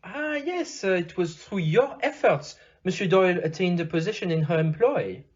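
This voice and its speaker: A muffled, lo-fi audio-play recording of an amateur actor as a shrewd, fatherly male detective with a French accent. He speaks formally and politely, his pitch swinging widely in a husky voice. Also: lively intonation, normal speed. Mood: surprised, curious. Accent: French, put on. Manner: formal, polite, theatrical phrasing. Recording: dull, muffled lo-fi.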